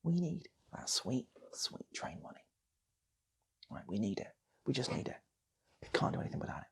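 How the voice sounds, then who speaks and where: A British man spins an improvised comic tale with a very husky, gravelly voice. His pitch moves around a lot as he rambles and repeats himself for effect, sometimes slipping into whispers and little acted voices. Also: sing-song, normal speed, a bit bright. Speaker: channel host and storyteller.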